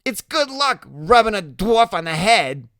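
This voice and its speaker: A man voicing a giggly, mean, beer-drinking woman in an audiobook story, excitable and taunting, with slangy exclamations. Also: unhurried, dry recording, studio quality, heavily acted. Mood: teasing. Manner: shrill exclaiming, teasing, slangy.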